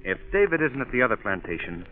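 An actor playing a calm, inquisitive male investigator who asks measured, polite questions in a 1940s radio drama. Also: medium pitch, close to an everyday voice. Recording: narrow old radio broadcast with a crowd.

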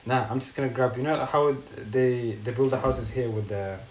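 A man's voice: mid-range voice, moderate pace, a little mellow. A young man from Ethiopia, co-hosting a casual chat in a blunt, teasing way with street slang. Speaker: podcast co-host. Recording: echoey room with faint noise.

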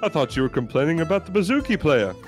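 A woman's voice: low-pitched, sing-song, quick pace, faint music behind, pitched above the performer's own voice. A woman playing a puzzled, obliging male cheese shop owner with a polite, baffled manner in a comedy sketch. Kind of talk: British sketch comedy reenactment.

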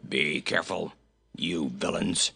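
A narrator voicing a gruff, sulky talking male goat from a storybook, growling curt complaints. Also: deep voice, fairly even intonation, measured pace, bright, forceful tone, voice pushed down, full character voice. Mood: angry scolding.

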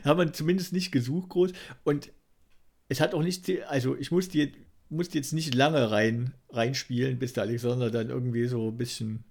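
A man with a very creaky, gravelly voice at a middling pitch. He co-hosts a podcast in a casual, joking chat style. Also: steady tone, moderate pace, darkish tone. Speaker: podcast host.

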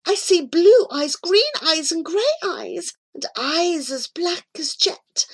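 A woman voicing a small female animal companion in a storybook, marvelling in hushed, breathy wonder. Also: extremely high pitch, lively intonation, slow, pitched above the performer's own voice, exaggerated voice. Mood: hushed awe.

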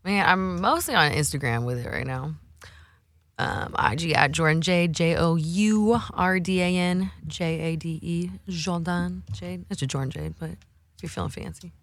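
A woman's voice: on the low side, moderate pace, no room sound, studio quality. A woman guest, a music producer and songwriter, talking in an upbeat, chatty and slangy way. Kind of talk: casual chat.

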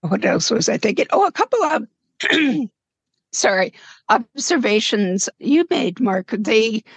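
A slightly hoarse woman talking thoughtfully as a panelist in a casual film discussion. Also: a little high, big pitch swings, unhurried, no echo. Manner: thoughtful, musing, with small laughs. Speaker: podcast panelist.

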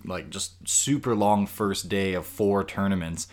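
A man's voice: low-pitched, fairly even intonation, unhurried. A man co-hosting a gaming podcast, chatting casually and thinking aloud with frequent restarts. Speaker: podcast co-host.

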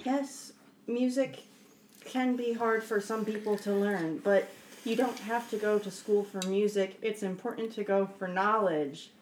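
A woman's voice: medium pitch, steady tone, measured pace, echoey room, slight background noise. A woman playing a tabletop roleplaying game, speaking firmly and insistently, partly in character as her bard. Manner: firm, insistent. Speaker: player.

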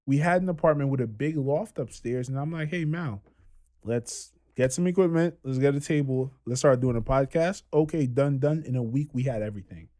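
Twenties male host, casual podcast storyteller, animated and loose. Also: medium pitch, a little fast. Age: 20s.

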